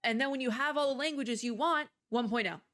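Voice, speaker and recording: A woman's voice: sits high, brisk, fairly bright tone. A woman streamer giving casual, opinionated commentary as she plays a game, rambling in exasperated asides. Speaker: video game streamer, host. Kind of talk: casual gaming commentary while playing a demo.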